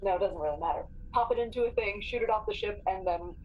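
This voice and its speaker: A woman's voice: mid-range voice, fast. A woman talking casually as a player in a tabletop game, planning moves aloud. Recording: dull lo-fi mic, background noise.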